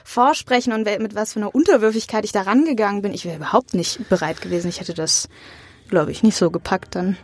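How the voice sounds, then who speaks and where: A woman's voice: mid-range voice, fast talker, husky, no echo. A young woman telling anecdotes candidly in a casual chat as an interview guest. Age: young adult. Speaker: interview guest, acting student.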